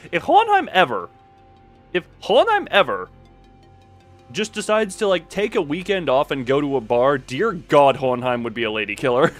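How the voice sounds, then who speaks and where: Excitable guy streamer, voice on the high side and all over the place, swears and giggles, music behind him. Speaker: livestreamer playing and reading a story game. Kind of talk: livestream game commentary with reading aloud.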